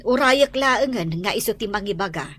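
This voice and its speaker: A woman playing a worried, gentle mother in a radio drama, hesitant and fretting. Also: medium pitch, lively intonation, normal speed, husky. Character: worried, gentle mother talking with her husband.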